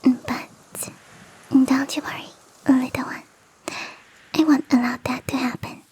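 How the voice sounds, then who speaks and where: Girl doing a sweet motherly yeti lady for asmr rp, high breathy whisper, really mellow, doting and teasing. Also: fairly even intonation, full character voice. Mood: worried, tender. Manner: cooing, nurturing, slow and teasing. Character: big fluffy female yeti, motherly, gentle and doting. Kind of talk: ASMR roleplay.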